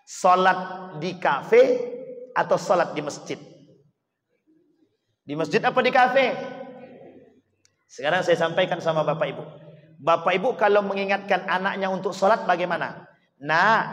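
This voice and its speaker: A man's voice: high voice, fairly bright tone. A man preaching a sermon to a congregation, emphatic and repetitive, pressing points with rhetorical questions. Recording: echoey hall with long reverb.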